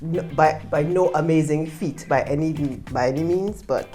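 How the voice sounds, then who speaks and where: A woman's voice: low-pitched, moderate pace, dark tone, soft background music. A woman from Trinidad, telling anecdotes as a guest in a casual interview. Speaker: podcast guest.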